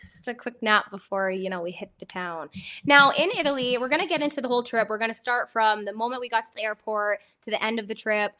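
A hoarse woman chatting on her podcast, her voice slightly phlegmy from a cold. Her tone is bright and sits a touch high, and she talks in a bubbly, giggly way. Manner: bubbly, chatty, quick to laugh. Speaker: podcast host. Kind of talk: casual chat.

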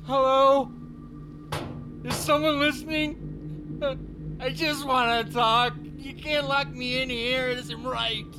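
An actress playing a locked-up prisoner in an audio drama, pleading insistently in a drawn-out, eerie way. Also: female voice, high voice, fairly even intonation, music in the background, rough recording.

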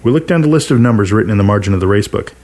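Low-voiced man narrating fiction. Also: animated, very fast, darkish tone. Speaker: narrator, story reader. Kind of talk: scripted first-person fiction narration.

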